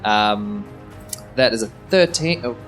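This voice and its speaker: A woman's voice: very deep voice, expressive, slow pace. A woman talks casually through her moves in a live tabletop game. Music plays faintly behind her on stage. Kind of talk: casual improvised game play.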